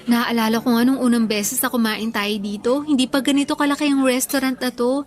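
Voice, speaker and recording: Actress as young Filipina girlfriend, hurt and earnest, high voice, radio drama with music underneath. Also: steady tone, fairly quick, natural voice. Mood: fond, relaxed. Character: hurt, disillusioned young girlfriend, a content creator.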